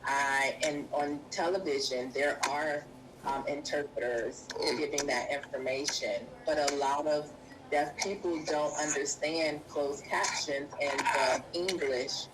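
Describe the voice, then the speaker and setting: Low-voiced woman interpreter, noisy recording. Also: steady tone. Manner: measured, halting phrasing while interpreting. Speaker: sign language interpreter voicing a deaf guest. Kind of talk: live spoken interpretation in an interview.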